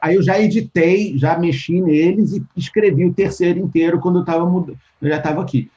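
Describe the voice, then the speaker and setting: Brazilian man, dark lo-fi call voice. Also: high-pitched, fairly quick. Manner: thoughtful, restarts often, acts out quoted exchanges. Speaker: interview guest, writer. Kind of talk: casual interview talk. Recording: dull lo-fi call audio.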